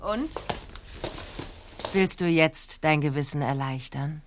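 A woman playing a cool, stern interrogator in a radio drama, calmly coaxing a suspect to confess. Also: low-pitched, slow, background noise. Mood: coaxing pressure. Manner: calm, coaxing, pressuring.

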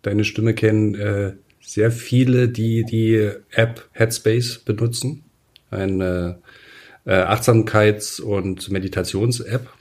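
A man hosting a podcast, introducing his guest and asking friendly, relaxed questions. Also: on the low side, takes it slowly. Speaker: podcast host, newspaper editor-in-chief. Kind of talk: podcast interview.

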